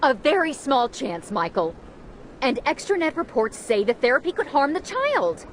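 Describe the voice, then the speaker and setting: Stubborn, emotional grieving widow, high voice. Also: female voice, sing-song, moderate pace, a little hiss or hum. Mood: defiant. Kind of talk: video game drama acting.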